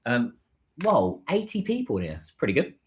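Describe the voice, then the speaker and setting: A British man talks loosely to viewers on a livestream, his voice sitting at an ordinary middle pitch. He rambles, restarts sentences and fills gaps with "um" and "like". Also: wildly swooping pitch, moderate pace. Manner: rambling, chatty, many fillers and restarts. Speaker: livestreamer and business content creator. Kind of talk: casual livestream chat.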